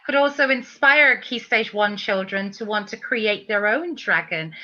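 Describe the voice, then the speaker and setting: Bright-voiced formal woman host. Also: mid-range voice, measured pace, lo-fi sound. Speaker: host interviewing an author. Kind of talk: formal, appreciative hosting.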